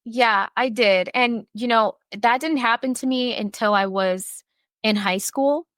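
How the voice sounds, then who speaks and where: A woman's voice: fairly high pitch, a little slow, fairly bright tone, no echo, clean studio sound. A woman guest on a podcast, telling personal stories in a frank, talkative way and swearing casually.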